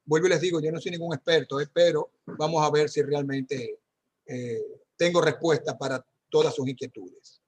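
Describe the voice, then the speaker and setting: A man's voice: fairly high pitch, fairly even intonation, moderate pace. A man, a doctor, answering parents' questions in an online talk, speaking conversationally with many fillers and tag questions. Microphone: video call.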